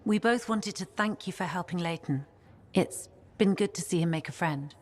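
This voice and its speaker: A woman's voice: lowish voice, moderate pace, natural voice. An actress playing a direct, devoted woman in a video game cutscene. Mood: earnest.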